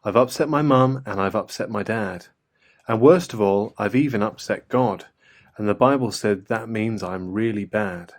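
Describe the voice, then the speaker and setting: A man's voice: fairly low pitch, normal speed, natural voice. A man voicing an upset little girl in a storybook, telling it earnestly.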